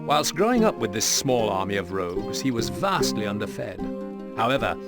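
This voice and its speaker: A man giving formal, measured scripted narration for a video game story. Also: fairly low pitch, big pitch swings. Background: loud plucked-string music.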